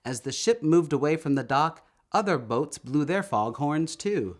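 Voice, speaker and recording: A man reading a children's story aloud in an expressive, deliberate storytelling manner. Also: fairly high pitch, soft background music. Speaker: storybook reader.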